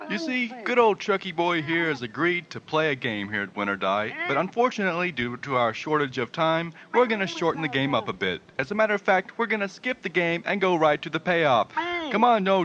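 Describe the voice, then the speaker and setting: A man's voice: on the high side, natural voice. Man playing a showy American game show host, dull audio. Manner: showy, smooth announcer patter. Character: slick, cheerfully sadistic male game show host. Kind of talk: comic radio drama acting.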